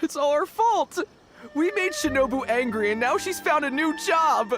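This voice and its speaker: A woman's voice: high-pitched, expressive, moderate pace. A woman voicing a plaintive, worried young gang underling in a video game, with a whiny delivery. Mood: guilty, upset. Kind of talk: animated video game voice acting. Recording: music behind the voice.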